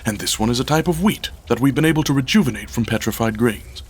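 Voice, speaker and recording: An actor playing an irritable, long-legged head of a tech company in an audio drama, speaking in a terse, dry, weary manner. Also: male voice, medium pitch, animated, fast, husky. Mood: dry, impatient.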